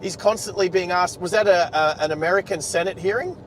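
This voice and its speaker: An Australian man chatting casually and ramblingly as he hosts a livestream from inside a moving car. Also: a little fast. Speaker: livestream host, driver. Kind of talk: casual chat. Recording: car rumble and road noise.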